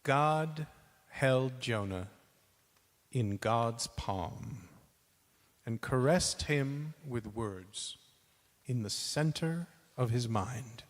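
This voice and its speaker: A man reciting spoken word in a measured, weighty theatrical manner, as a reader in a dramatic performance. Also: mid-range voice, expressive. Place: large reverberant temple hall. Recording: long hall reverb.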